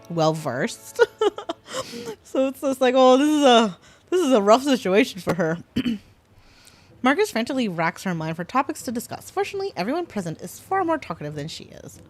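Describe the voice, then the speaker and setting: A woman streaming a game, reading its text aloud and reacting in a playful, giggly way. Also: fairly high pitch. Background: game music.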